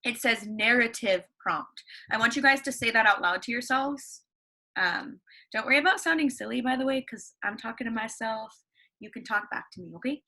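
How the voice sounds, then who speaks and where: A woman's voice: fairly high pitch, normal speed, pushed and bright, low-quality recording. A young woman teaching young students from home over her computer, upbeat, asking questions and answering them herself. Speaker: elementary school teacher. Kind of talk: read-along lesson for young students at home. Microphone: computer microphone.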